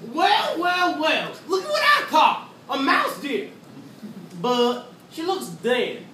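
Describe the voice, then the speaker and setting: A gloating, gullible farmer, acted by a young man in a stage story for children. He draws his words out slowly with big hammy swings of pitch, in an echoey classroom. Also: very animated, sharp tone, heavily acted. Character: gloating, easily fooled male farmer. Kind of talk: children's readers theatre performance. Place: classroom, recorded by a far camera. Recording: echoey classroom from far off, noisy.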